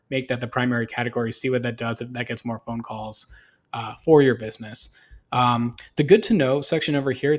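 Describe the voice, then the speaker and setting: A man giving a casual, unscripted screen-share tutorial as a marketing consultant, talking through examples in a conversational, explanatory way. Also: medium pitch.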